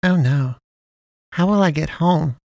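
A shy, bullied young schoolboy, played by a male narrator, speaking softly and a little higher than the narration in a downcast way. The sound is dry and close. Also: measured pace, vocal fry, no echo. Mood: timid.

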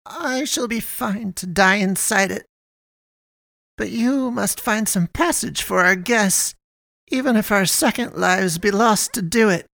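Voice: mid-range voice, measured pace, creaky, sharp tone, dry recording, voice pushed up. A narrator voicing a devout, stubborn old village mother in a fantasy audiobook, declaiming solemnly. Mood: resolute.

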